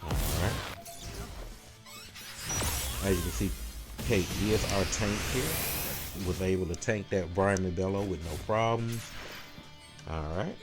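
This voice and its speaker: A man with a deep, low-pitched voice talks through gameplay as a guide. His delivery is casual and procedural, calling out each move as he makes it. Prominent game music plays loudly behind him. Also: slow, pauses often. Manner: casual, step-by-step play-by-play. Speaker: gameplay guide creator and commentator. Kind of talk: live gameplay commentary, walkthrough.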